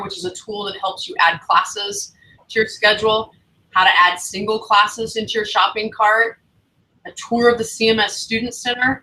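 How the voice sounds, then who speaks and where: A woman's voice: mid-range voice, pushed and bright, distant mic, rough recording. A woman academic advisor talking casually to new students on a livestream, chatty and encouraging. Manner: chatty, encouraging, loose phrasing.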